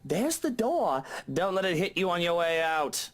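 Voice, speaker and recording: A man's voice: high-pitched, big pitch swings, normal speed, voice pushed up. Guy putting on a grumpy sarcastic young boss, voice swings up and down a lot. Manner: sarcastic, exasperated.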